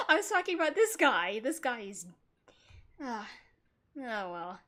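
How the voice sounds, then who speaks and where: A woman's voice: high voice, wildly swooping pitch, a little slow, pushed and bright. A woman livestreamer reacting casually to a video game, chatty, blunt and full of slang. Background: video game music.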